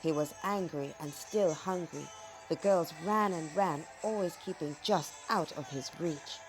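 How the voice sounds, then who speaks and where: Low-pitched woman telling a folk tale. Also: a little slow, over music, rough recording. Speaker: storyteller. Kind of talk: scripted children's folk tale narration.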